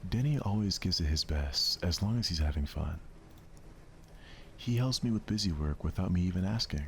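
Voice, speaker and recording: A man voicing a cheerful, sweet teenage schoolgirl from a visual novel, bubbly and encouraging in soft ASMR style. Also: low voice, animated, normal speed, slight background noise, pitched above the performer's own voice.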